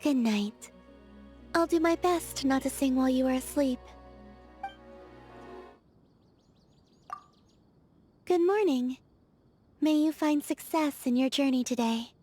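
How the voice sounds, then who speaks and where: A woman voicing a gentle, naive young moon goddess in a video game, with a soft, airy, delicate voice and a serene, measured, innocently earnest delivery. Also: high-pitched, lightly acted. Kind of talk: scripted video game character dialogue. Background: background music.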